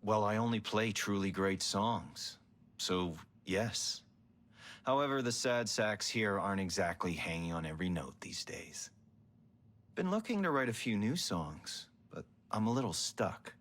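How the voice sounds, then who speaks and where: A man playing a vain, theatrical bar musician in a game cutscene, pompous and languid with a breathy voice. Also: lowish voice, animated, measured pace, fairly bright tone. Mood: self-important. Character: vain, theatrical male bar musician, self-pitying and wry. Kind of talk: video game cutscene acting.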